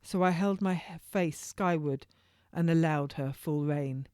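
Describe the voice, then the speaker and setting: A woman's voice: low voice, unhurried, mellow, no echo, studio quality. A woman author reading her own historical novel as first-person narration, in an informal read-aloud way with occasional stumbles.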